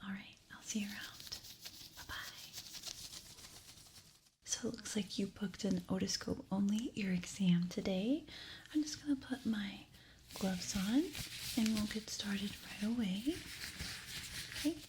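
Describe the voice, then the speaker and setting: A woman speaking in a soft, breathy whisper, reassuring, as an examiner in an ASMR medical role-play. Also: medium pitch, unhurried, pauses often, background noise. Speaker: ASMR creator role-playing an ear examiner.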